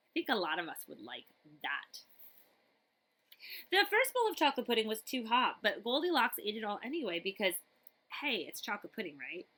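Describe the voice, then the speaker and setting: A woman reads a picture book aloud in a high, bright voice with lively, swooping intonation. She is expressive and chatty, stepping out of the text to talk to young listeners. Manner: animated, chatty, pausing to ask listeners questions. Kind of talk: expressive children's read-aloud with asides.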